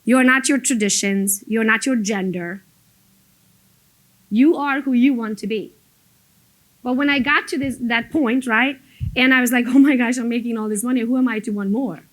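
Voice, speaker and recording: A woman's voice: on the high side, normal speed, low-quality recording. A woman, speaking as a motivational business coach to a group in a blunt, emphatic, informal storytelling way. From abroad, emigrated to the United States as an adult. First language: not English. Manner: blunt, emphatic, conversational storytelling with mild swearing.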